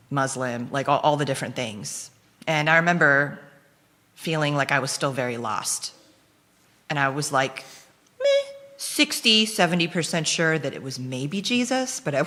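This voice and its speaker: A woman's voice: low voice, fairly bright tone. A young woman from the Philippines whose first language is Dutch, sharing her testimony in a chatty way, with lots of fillers and frequent laughter. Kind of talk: casual conversational testimony. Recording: long hall reverb.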